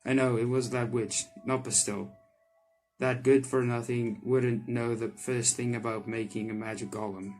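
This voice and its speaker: A man voicing a wry, grumpy but softening friend in a video game, dry and casual, with music behind. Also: medium pitch, steady tone, normal speed, natural voice, poor audio. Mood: dry, humouring. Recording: background music under the voice.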